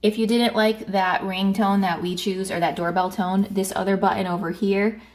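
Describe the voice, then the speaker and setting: Woman with mid-pitched voice, casual demonstrator. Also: little pitch movement, normal speed. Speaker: helper in product demonstration.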